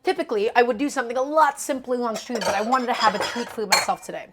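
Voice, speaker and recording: A woman's voice: fairly high pitch, fast talker, heavy background noise. Upbeat woman hosting a cooking stream. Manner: chatty, keeps addressing viewers as "guys"; upbeat, hypes up the audience. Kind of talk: live cooking stream, reading chat.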